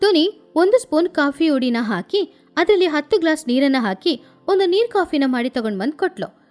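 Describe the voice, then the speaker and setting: A woman narrating a children's story in an animated storytelling style. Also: high-pitched, normal speed, soft background music.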